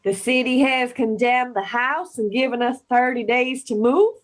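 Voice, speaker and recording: An indignant wife acted by a woman in a radio play, with a high voice. Her delivery is dramatic and put-upon. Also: moderate pace, lightly acted. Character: indignant wife dreaming of selling up. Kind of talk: amateur radio play acting.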